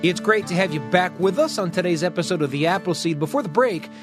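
A man's voice: high voice, fast talker, music in the background. A man hosting a talk and story show, speaking in reflective, conversational phrases as he chats and introduces stories. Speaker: radio show host.

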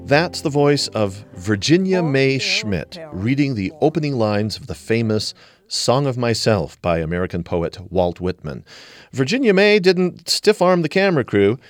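A man hosting a radio show, reading a scripted introduction in an animated, questioning way before interviewing his guest. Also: mid-range voice, unhurried, no room sound, faint music behind.

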